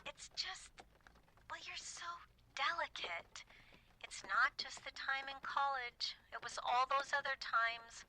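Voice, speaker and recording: A woman's voice: high voice, slow pace, bright, forceful tone, a little hiss or hum, full character voice, poor audio. An actress playing an anxious, smothering middle-aged mother in a horror audio drama, fussing hesitantly with passive-aggressive concern. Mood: worried, coaxing. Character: fretful, smothering, anxious mother of a grown daughter.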